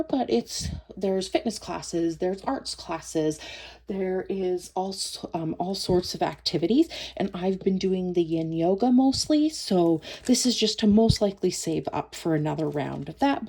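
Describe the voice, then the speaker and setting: A woman's voice: on the low side, dark tone, a little hiss or hum. A woman in her thirties, stuffed up from a cold, chatting casually as she counts cash for a budgeting vlog, often stopping to correct herself. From Canada. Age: 30s. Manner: friendly, self-correcting, chatty. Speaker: budgeting vlogger. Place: home, at night.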